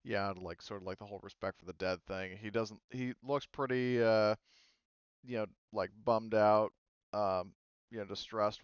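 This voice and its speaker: A man's voice: fairly low pitch, smooth, no room sound, dull audio. A man running a tabletop game as game master, rambling with many fillers and self-corrections.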